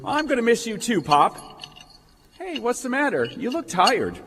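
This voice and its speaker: Radio play lead: male actor as friendly young man, hugely expressive husky voice, reverberant stage. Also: very high voice, a little slow, background noise, heavily acted. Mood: excited. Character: exuberant, friendly small-town young man.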